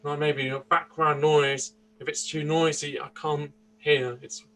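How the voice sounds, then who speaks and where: A man's voice: on the high side, little pitch movement, a little slow, fairly bright tone, rough recording. A young man in his twenties from Austria, answering interview questions earnestly, often restarting and searching for words. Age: 20s. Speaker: interview guest. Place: indoors, seated interview.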